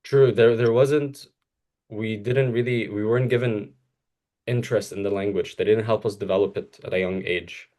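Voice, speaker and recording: A young man with a fairly low voice, chatting casually as a co-host. He is from Egypt and his first language is Arabic. He rambles through restarts and laughs a lot. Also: fairly even intonation, normal speed, warm tone. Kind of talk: casual conversation.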